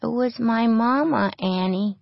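A woman's voice: fairly high pitch, drawn-out words, no room sound, voice pushed up. A woman voicing a frightened little orphan girl who cries and pleads, in a dull lo-fi storybook recording. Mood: tearful. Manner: crying, pleading.

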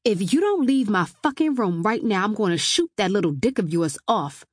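Woman acting a feisty young woman, defiant, sharp-tongued, fed up, audiobook dialogue, dry sound. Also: on the high side, animated, normal speed, no echo, pitched above the performer's own voice. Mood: angry, defiant.

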